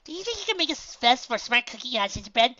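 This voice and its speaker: A woman's voice: a little high, sing-song, racing, sharp tone, lo-fi sound, voice pushed up. A woman voicing a prim, fussy lady pony with an incredulous, particular manner in a cartoon-based story. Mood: exasperated.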